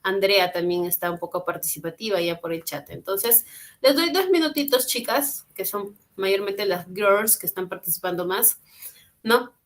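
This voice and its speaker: Lady teaching english online, patient, repeats stuff, middle pitch. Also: animated, moderate pace. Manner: patient, encouraging, repeats and translates phrases. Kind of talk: online class lesson. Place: home, online class. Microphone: computer mic on a video call.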